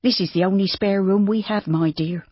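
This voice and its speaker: A reader voicing a bustling, kindly farmer's wife who is motherly and brisk. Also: mid-range voice, steady tone, husky, no echo, muffled recording, voice pushed up, natural voice.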